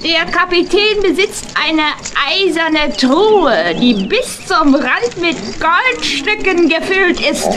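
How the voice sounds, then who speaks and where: A woman voicing a fawning, sneaky male cat informer in a children's radio play, obsequious and drawn-out. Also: extremely high pitch, expressive, exaggerated voice. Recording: noisy old audio drama record.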